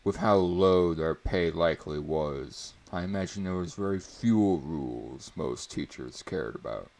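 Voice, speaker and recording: A man giving a theatrical dramatic reading of first-person prose, with a deliberate, emphatic, mock-serious delivery. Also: low voice, darkish tone. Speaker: reader performing a book chapter.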